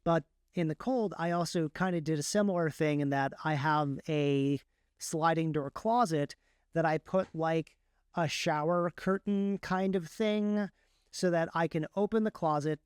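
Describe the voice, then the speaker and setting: Man, slightly high voice, crisp and smooth, casual tech talk, rambling enthusiastic explainer, podcast guest. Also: a little slow, dry recording, clean studio sound. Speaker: podcast guest, audiobook narrator. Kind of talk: casual technical chat.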